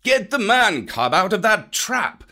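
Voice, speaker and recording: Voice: medium pitch, big pitch swings, unhurried, bright, forceful tone, pitched above the performer's own voice, full character voice. A reader voicing a proud, stern panther in a storybook. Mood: urgent.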